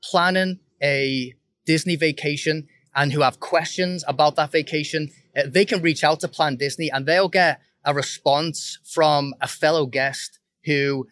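Man, Liverpool accent, pastor, friendly, gently joking, slightly high, dry. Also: fairly even intonation, measured pace, no room sound. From England. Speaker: pastor and podcast guest. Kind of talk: casual chat.

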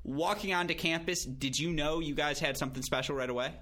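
Bright-voiced joking male host. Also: fairly high pitch, a little fast. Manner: joking, chatty. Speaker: interviewer host. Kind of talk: casual interview.

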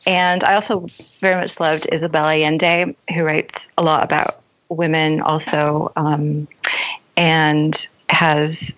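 A woman's voice: low voice, flat delivery, slow pace, a bit bright, low-quality recording. A woman author speaking as an interview guest, articulate and thoughtful as she reflects on writing.

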